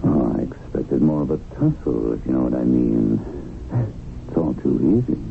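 Very deep, smug devilish tempter. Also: male voice, moderate pace, gravelly, heavily acted. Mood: smug taunting. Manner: smug, slangy, taunting. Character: cocky taunting devilish tempter, male rival of a guardian spirit. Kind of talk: 1970s radio drama acting. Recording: tinny, lightly noisy old radio broadcast.

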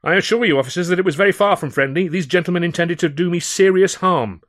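A man's voice: high voice, fast, fairly bright tone, no room sound, studio quality, voice pushed up. A man voicing a young actor in an audiobook, nonchalant and cheekily polite, with a defiant edge. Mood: polite defiance. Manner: affected politeness, raised delivery.

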